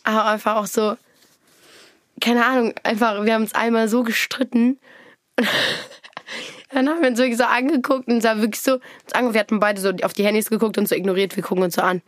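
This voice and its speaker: A teenage girl with a cold-roughened, hoarse voice, hosting a casual chat and telling rambling, giggly stories. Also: a little high, steady tone, brisk, dry recording, studio quality. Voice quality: scratchy voice. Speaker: podcast host.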